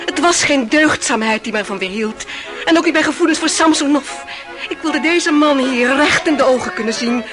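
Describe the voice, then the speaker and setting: A high-pitched, proud and capricious young woman in love played by an actress. She speaks with theatrical intensity in a radio drama, and music plays clearly behind her. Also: moderate pace, close to an everyday voice. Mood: scornful, bitter. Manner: theatrical, emotionally intense delivery. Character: passionate, proud, capricious Russian young woman, tormented lover. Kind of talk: Dutch radio play acting.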